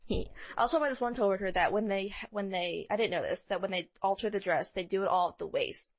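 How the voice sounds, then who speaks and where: A woman's voice: medium pitch, fast talker. A woman co-hosting a podcast, chatting casually and telling stories informally, with dramatic delivery of quoted lines. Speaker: podcast co-host.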